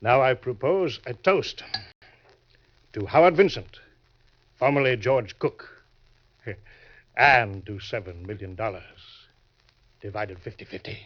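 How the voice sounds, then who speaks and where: An actor playing a crafty, genial older swindler in an old-time radio crime drama, speaking in a suave, deliberate way. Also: male voice, mid-range voice, slight background noise, lightly acted. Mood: gloating. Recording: dull vintage radio recording.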